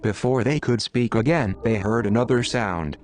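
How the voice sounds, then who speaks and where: A man narrating an adventure story aloud in an unhurried, deliberate way. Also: fairly low pitch, a little mellow. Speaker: author reading own story.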